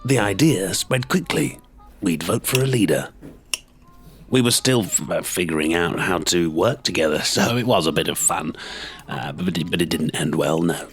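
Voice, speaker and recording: An actor playing an avuncular storybook character reminiscing about politics in a chatty, hesitant, gossipy interview. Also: male voice, deep voice, moderate pace, faint music behind. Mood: wry reminiscing. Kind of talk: mockumentary interview in audio drama.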